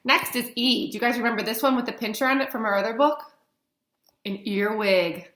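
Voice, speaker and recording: A woman's voice: on the high side, brisk, noisy background. A woman in her thirties reading aloud to young children as a teacher, asking simple questions and stressing key words. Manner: simple explanations with frequent questions to listeners and stressed letter names. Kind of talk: read-along lesson for children. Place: indoors, small plain-walled room. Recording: roomy, echoey webcam sound.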